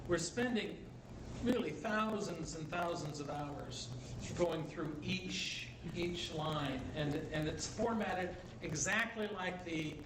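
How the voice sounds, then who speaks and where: High-voiced bishop in an echoey hall. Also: male voice, slow. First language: English. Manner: jovial storyteller, asks "right?" often. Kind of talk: conference talk with Q&A, switching between Spanish and English. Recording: echoey hall, distant mic, background noise.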